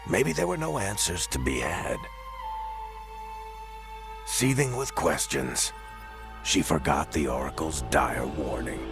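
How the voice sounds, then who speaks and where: A man's voice: lively intonation, takes it slowly, pauses often, exaggerated voice. An actor voicing a grave, brooding male narrator telling a story in a fantasy game. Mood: grave, ominous. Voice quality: deep, gravelly. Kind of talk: dramatic scripted story narration. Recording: music behind the voice.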